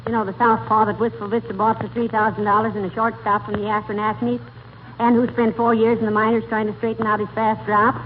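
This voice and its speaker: Feisty 1940s radio-comedy wife, played by a woman: higher voice, bossy teasing banter, crackly narrow-band vintage recording. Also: level tone, fairly quick, close to an everyday voice. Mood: teasing, eager.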